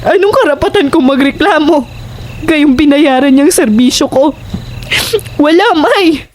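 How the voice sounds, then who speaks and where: Casting: actress as desperate, bitter young Filipino woman of the night, high pitch, pleading delivery, horror radio-play feel. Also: measured pace, darkish tone, a little hiss or hum. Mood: anguished, despairing. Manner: emotional, insistent, pleading. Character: distraught, fearful, bitter young prostitute who killed in self-defense. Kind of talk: Filipino horror audio drama acting.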